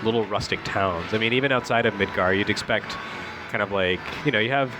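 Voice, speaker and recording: A man with a lowish voice talks off the cuff as a video game critic. He is opinionated and conversational, ready to banter and argue a point. Game music plays clearly behind him. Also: a little fast. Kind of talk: casual off-the-cuff commentary on game cutscenes.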